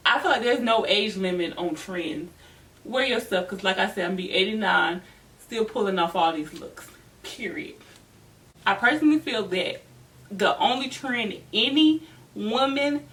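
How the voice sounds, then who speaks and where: A woman's voice: mid-range voice, a bit bright. A woman vlogger giving casual, chatty advice to camera in a sassy, emphatic way with informal slang. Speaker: host of a fashion advice vlog. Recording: distant mic with noticeable background noise.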